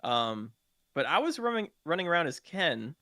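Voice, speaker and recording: A man's voice: a little high, very animated, normal speed, sharp tone, dry recording, studio quality. A man telling roleplay gaming stories in a casual podcast chat. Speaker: podcast participant, game roleplay streamer.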